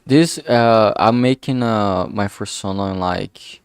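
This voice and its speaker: A man's voice: fairly low pitch, takes it slowly, clean tone, dry recording, studio quality. A man giving casual, rambling commentary while streaming his drawing work, informal and self-deprecating in manner. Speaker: streamer making a visual novel.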